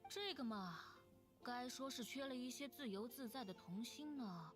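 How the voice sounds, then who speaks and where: High-pitched, playful young game character voiced by a woman. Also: expressive, moderate pace, music in the background, natural voice. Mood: playful, coy. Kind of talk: anime-style video game voice acting.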